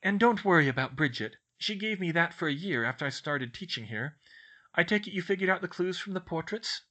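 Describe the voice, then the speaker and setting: Man playing a kindly woman professor, lifted pitch. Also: expressive, fairly quick, bright, forceful tone. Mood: welcoming. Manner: friendly, reassuring.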